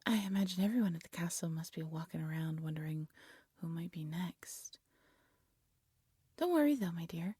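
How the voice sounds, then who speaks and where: A woman playing a devoted, lovesick exiled alchemist in an intimate fantasy audio roleplay, tender and soft-spoken, often dropping into a whisper. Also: lowish voice, expressive, moderate pace, slightly rough, no room sound, clean studio sound. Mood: tender concern. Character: devoted, lovesick exiled alchemist practising dark magic, gentle.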